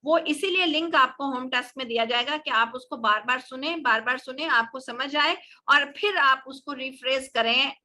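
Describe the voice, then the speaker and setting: A woman's voice: high voice, moderate pace, hardly any pauses, pushed and bright. A woman with a Pakistani accent teaching a live online English class, answering questions in explanatory Urdu mixed with English. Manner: explanatory, code-switching between Urdu and English.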